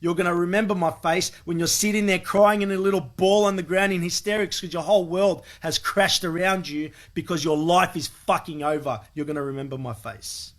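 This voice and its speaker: Higher-pitched Australian man in a vehement rant. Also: steady tone, a little fast, few pauses. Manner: vehement, emphatic, profane. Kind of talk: angry political rant.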